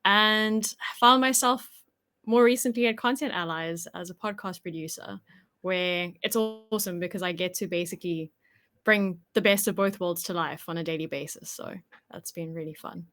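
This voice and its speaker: Lower-voiced woman explaining thoughtfully. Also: moderate pace, fairly bright tone. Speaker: podcast producer. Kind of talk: interview guest answers.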